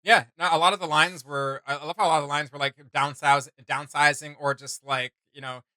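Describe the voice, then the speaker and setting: A man's voice: a little high, fairly even intonation, a little fast, bright, forceful tone, dry recording. A young man chatting casually as a reaction video host, enthusiastic and rambling with plenty of slang. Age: young adult. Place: small home studio room.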